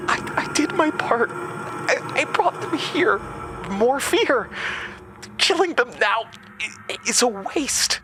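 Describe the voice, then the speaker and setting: A man's voice: very high-pitched, expressive, takes it slowly, over music, pitched above the performer's own voice, heavily acted. A man voicing a frightened young woman in a horror audio drama, pleading and stammering in a strained, gasping voice. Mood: choked desperation. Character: frightened, pleading young female camp accomplice, desperate.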